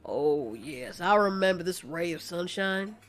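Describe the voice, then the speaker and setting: A woman voicing a gigantic male horse monster who works as a chef in an audio drama, genial, folksy and unhurried. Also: on the low side, lively intonation, faint music behind, pitched below the performer's own voice. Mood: welcoming.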